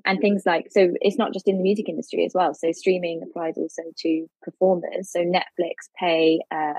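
A woman's voice: fairly low pitch, a little mellow, slightly rough, no echo, low-quality recording. A woman speaking as a podcast guest, thoughtful and conversational, with frequent hedges. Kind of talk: podcast discussion.

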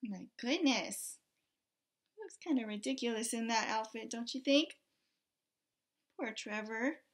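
A woman in her thirties, a teacher reading a picture book aloud expressively, pausing to exclaim and put questions to children. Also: fairly high pitch. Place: small indoor room with bulletin board.

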